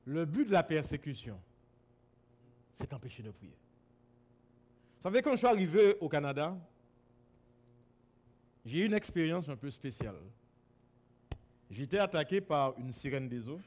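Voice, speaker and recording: A man from Guadeloupe preaching a sermon to a congregation in a church hall, swinging between loud exclamations and low asides. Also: sing-song, normal speed, long pauses. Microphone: camera-recorded.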